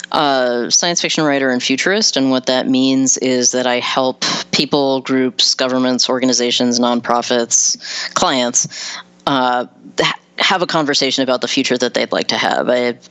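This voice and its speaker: A woman with a very clear, smooth voice speaks as an interview guest. She is articulate and thoughtful, explaining in a conversational style. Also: very deep voice, fairly bright tone. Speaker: interview guest, science fiction writer.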